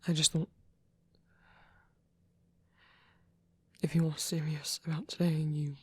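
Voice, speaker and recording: Performer as a male vampire priest, ASMR roleplay, very breathy whisper, mellow, shy, devoted, halting delivery, close dry sound. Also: medium pitch, little pitch movement, dry recording, lightly acted. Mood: nervous, apologetic. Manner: hesitant, halting, tender.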